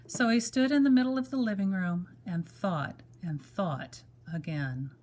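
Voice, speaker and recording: A woman reading a children's story aloud in a measured, expressive manner, as if to young children. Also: mid-range voice, a little hiss or hum. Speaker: storybook reader. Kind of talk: children's story read-aloud with brief asides to listeners.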